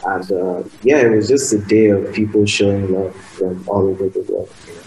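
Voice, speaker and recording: A young man with a Nigerian accent, his first language Igbo, chatting softly and casually as a guest in a radio interview. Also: deep voice, normal speed, slight background noise. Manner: soft-spoken, relaxed, lots of fillers. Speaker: guest musician. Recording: roomy sound with faint ambience.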